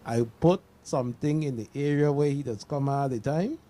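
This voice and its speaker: A man's voice: mid-range voice, moderate pace, mellow. A man with a Caribbean accent talking casually on a panel, emphatic and often repeating himself to make his point. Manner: emphatic, repeats himself, checks listeners understand. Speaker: panel discussion participant. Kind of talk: casual panel talk with personal anecdotes.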